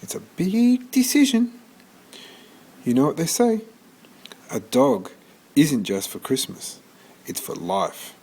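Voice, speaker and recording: A man voicing a kind, cautious, very old grandmother in a storybook, gently admonishing. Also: fairly high pitch, wildly swooping pitch, slow pace, pauses often, breathy edge, pitched above the performer's own voice, exaggerated voice. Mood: cautioning.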